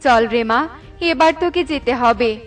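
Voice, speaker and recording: A woman puts on a fairy queen mother, dignified and protective. The voice is high and emphatic, with faint music behind it in cartoon style. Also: unhurried, lightly acted. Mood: grateful.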